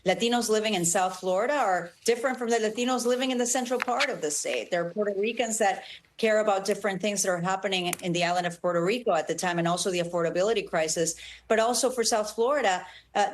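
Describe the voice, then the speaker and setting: Woman, born in Ecuador, native Spanish speaker, mid-pitched, emphatic, interview. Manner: earnest, emphatic, speaks in talking points. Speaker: congresswoman and Senate candidate. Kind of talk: political interview.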